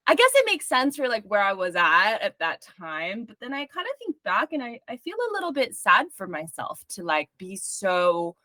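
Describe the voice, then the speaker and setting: A woman in her thirties from Singapore chats as an interview guest in a lively, rambling way, full of "like" fillers. Her voice sits at an average pitch. The recording is dry and close. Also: moderate pace, hardly any pauses, no echo. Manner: animated, chatty, frequent "like" fillers. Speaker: guest, music journalist. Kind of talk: casual interview chat.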